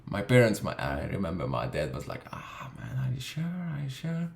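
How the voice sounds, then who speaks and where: A man's voice: on the low side, wildly swooping pitch, moderate pace, creaky. A young man from Hungary tells his story in a casual, profane way, with lots of fillers. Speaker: vlogger. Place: room in London. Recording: echoey room.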